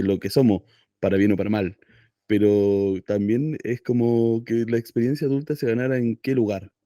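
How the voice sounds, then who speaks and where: A man's voice: mid-range voice, dark tone, no echo, clean studio sound. A middle-aged man hosting a casual radio interview, hesitant and checking in with "¿cierto?". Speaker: radio host.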